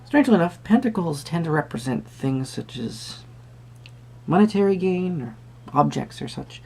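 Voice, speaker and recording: An eccentric, courtly male tarot reader, acted by a man in a soft roleplay. His voice is mellow and husky with a gravelly creak, and he muses theatrically and politely, drifting into murmured asides. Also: fairly high pitch, animated, normal speed, full character voice. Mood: pensive, mildly fussy. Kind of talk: soft-spoken tarot reading roleplay to the viewer.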